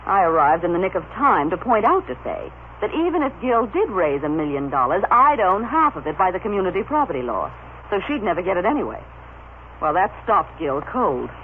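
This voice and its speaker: A woman playing a cold, calculating, sharp-tongued society wife in an old-time radio soap opera. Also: fairly low pitch, sing-song, a little fast. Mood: gloating. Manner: haughty, sharp-tongued, imperious. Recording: scratchy, narrow-band old radio recording.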